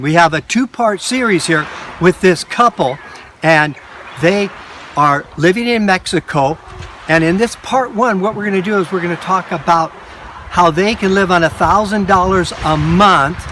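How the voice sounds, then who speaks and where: Older male travel host, easygoing conversational delivery, faint background hiss. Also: sits high. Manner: relaxed, chatty, frequent uh-huhs. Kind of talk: casual travel interview.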